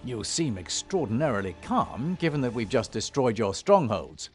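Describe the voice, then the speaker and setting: Measured, steadfast fantasy-game hero, male actor, animated mid-range voice with a dry edge. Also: medium pitch, faint music behind, natural voice. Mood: resolute. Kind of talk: fantasy game cutscene acting.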